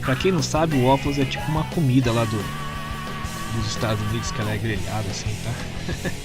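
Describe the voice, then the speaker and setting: A man with a Brazilian accent reading scripted story narration in an expressive storytelling manner. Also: mid-range voice, fairly quick, over loud music. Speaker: narrator of comic recaps.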